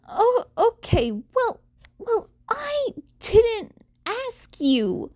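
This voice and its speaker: A woman voicing a hesitant, weary teenage boy vigilante in a story reading, speaking awkwardly and haltingly. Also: very high voice, wildly swooping pitch, darkish tone, voice pushed up, heavily acted. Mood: hesitant, flustered.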